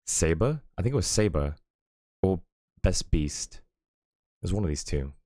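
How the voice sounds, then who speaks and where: A man with a very low, deep voice talks casually to his stream audience from a home setup. He rambles, filling gaps with ums and small chuckles. The sound is dry and close, with no room echo. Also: expressive, a little slow, a little mellow. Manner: rambling, lots of fillers and chuckles.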